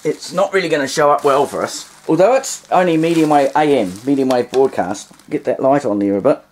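A man's voice: on the high side, fairly quick, a little mellow, a little hiss or hum. A man giving a casual, unscripted demonstration in a hesitant, rambling way with wry asides. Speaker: vintage radio hobbyist demonstrating.

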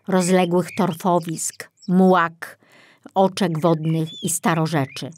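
A woman reading scripted narration for a children's audiobook story. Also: lowish voice.

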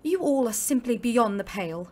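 A woman's voice: fairly high pitch, expressive, moderate pace, warm tone. A woman playing a prim, squeamish young British lady driving ambulances in an audio drama, given to complaint. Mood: disgusted.

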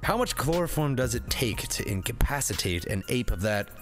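A man's voice: medium pitch, big pitch swings, normal speed. A man hosting a comedic, part-scripted movie review in a slangy, sweary, wisecracking way. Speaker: review show host. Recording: music under the voice.

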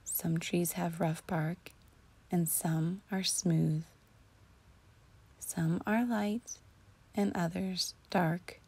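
Female meditation guide: very slow, soothing delivery, soft mellow tone, breathy and near-whispered. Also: on the low side, fairly even intonation. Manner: soothing, slow and deliberate with long pauses. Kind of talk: guided imagery relaxation narration.

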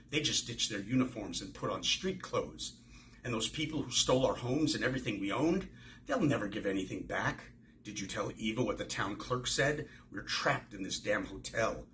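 A man's voice: medium pitch, sharp tone, a little hiss or hum. A man in his sixties reading the part of a bitter, grieving butcher from a novel.

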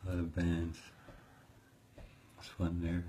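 A man in his fifties, soft-spoken, giving short, easygoing answers that often end in "eh", recorded at home on a close phone camera while sitting with his guitar. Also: low voice, monotone, slow pace, slight background noise. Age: 50s. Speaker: musician interviewee. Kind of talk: casual interview chat. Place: small indoor room at home, playing guitar.

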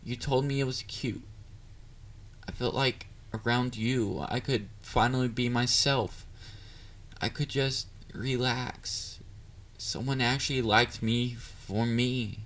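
A man playing a shy, clingy, excitable young catboy boyfriend in an intimate romantic audio roleplay, hesitant and easily flustered. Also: medium pitch, takes it slowly. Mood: vulnerable. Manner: hesitant, vulnerable, flustered, with stammers.

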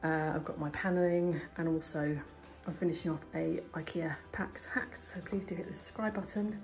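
Woman, DIY vlogger, low-ish voice, chatty hands-on tone, recorded on a handheld camera in a home hallway. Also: fairly even intonation, moderate pace, a little mellow, faint music behind. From United Kingdom. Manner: chatty, thinking aloud while working. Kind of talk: casual hands-on DIY tutorial. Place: indoor hallway and staircase at home. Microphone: handheld phone or camera filming her hands.

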